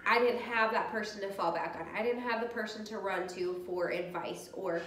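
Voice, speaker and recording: A woman in her thirties talks openly to a camera set across her dining room, her voice stuffed up from a cold. She speaks a little loud and straightforward. The room has a roomy echo with a faint background hum. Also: mid-range voice, moderate pace. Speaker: vlogger. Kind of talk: personal vlog talk.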